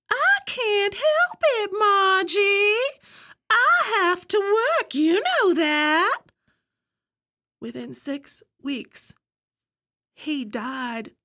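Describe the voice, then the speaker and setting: An actress playing a grieving woman inmate who flares into shouting. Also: high voice, exaggerated voice. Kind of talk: audio play acting.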